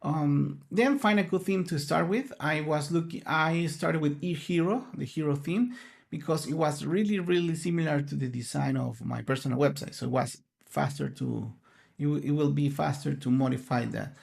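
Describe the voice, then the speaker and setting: Male conference presenter, Spanish native, raspy fry, slightly high pitch, earnest and a little halting. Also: a little mellow. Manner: earnest, explanatory, searching for words. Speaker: conference speaker.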